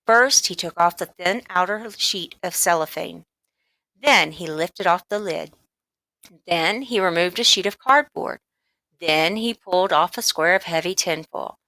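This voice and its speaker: A woman reading a children's book aloud as expressive, deliberate scripted narration. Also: fairly low pitch, no echo. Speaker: storybook reader.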